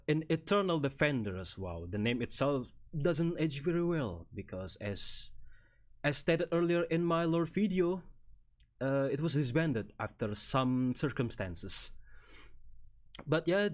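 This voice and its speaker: A man's voice: medium pitch, big pitch swings, a little slow. A man in his thirties from Indonesia, speaking English with an Indonesian accent, chatting casually on a live stream as he presents slides about himself with a rambling, good-humored manner and frequent chuckles. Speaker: VTuber streamer.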